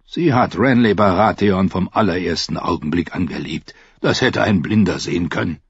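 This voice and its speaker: A man's voice: deep voice, normal speed, slightly rough, no echo, lo-fi sound. A man voicing a scornful old knight. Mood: earnest. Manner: contemptuous, mocking.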